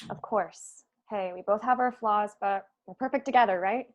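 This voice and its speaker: A woman's voice: medium pitch, moderate pace, highs cut off, natural voice. A woman playing a blunt, snippy but loyal young woman in a script read-through.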